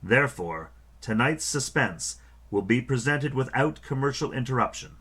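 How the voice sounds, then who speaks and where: A man playing an ominous host of a vintage radio suspense show, speaking portentously with dramatic pauses over music. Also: mid-range voice, animated, fairly bright tone, husky. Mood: ominous, grave. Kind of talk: 1940s-style radio narration, theatrical.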